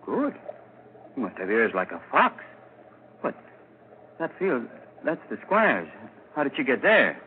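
An actor playing a poor, suspicious, excitable farmer in an agitated, exclamatory 1940s radio drama style. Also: male voice, medium pitch, a little slow, long pauses. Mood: puzzled. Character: poor, excitable, suspicious farmer hunting his lost cow. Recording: scratchy, tinny 1940s radio recording.

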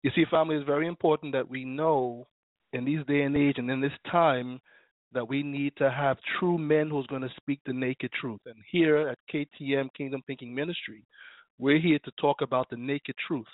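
A male minister preaching and praying on an internet radio show, his voice slightly raspy from illness. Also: medium pitch, steady tone, moderate pace, no echo. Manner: fervent, preacherly, prays and reads scripture. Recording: dry tinny phone line.

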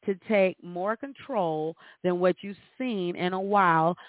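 A woman's voice: lowish voice, a little slow, no echo. A woman hosting a spiritual forecast, speaking earnestly and urging her listeners on with emphatic repetition. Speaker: host of astrology forecasts. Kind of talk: spiritual commentary with anecdotes. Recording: thin, narrow phone-like sound.

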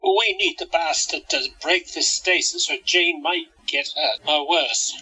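Guy playing practical worried partner in crime, sharp forceful husky voice, slow talker, radio drama. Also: on the high side, soft background music, heavily acted, low-quality recording. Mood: concerned, practical. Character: practical male accomplice, concerned, mildly exasperated lover. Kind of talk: audio drama acting.

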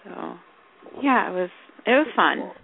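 A woman co-hosting a podcast in casual chat, rambling with many fillers and restarts, her voice going hoarse. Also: medium pitch, animated, a little slow. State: losing her voice, coughing. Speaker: podcast co-host. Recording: tinny phone-like call audio.

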